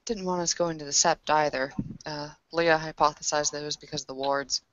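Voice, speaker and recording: A woman playing a tabletop roleplay, casually thinking aloud in a halting way, on dull, lo-fi audio. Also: low voice, fairly even intonation, a bit bright. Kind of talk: casual roleplay, half narrating her character.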